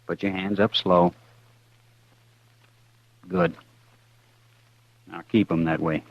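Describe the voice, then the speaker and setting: An actor playing a cool, defiant condemned outlaw in a 1950s radio western, slow and menacing. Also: male voice, deep voice, steady tone, no echo, full character voice. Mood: cold, taunting. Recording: dull vintage radio recording.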